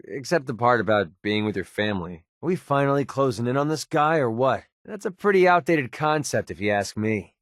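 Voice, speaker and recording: Man playing a cynical, animated American young mercenary. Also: medium pitch, no room sound, studio quality, close to an everyday voice. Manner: sarcastic, streetwise, casual delivery. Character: cynical, wisecracking young male mercenary sniper in a post-apocalyptic wasteland. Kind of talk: video game companion dialogue.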